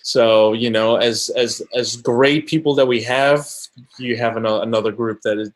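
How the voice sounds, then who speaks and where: A man's voice: mid-range voice, fairly even intonation, unhurried. A man from Colombia, a guest on an interview podcast, speaking in a casual, thoughtful way with many halts and restarts. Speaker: guest camera assistant.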